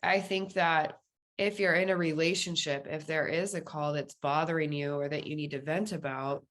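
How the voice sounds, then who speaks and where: A woman with a low voice speaks as a guest in a casual interview. She explains her views in a candid, informal way, as in everyday conversation. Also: steady tone, moderate pace, a bit bright. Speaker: podcast guest, police dispatcher.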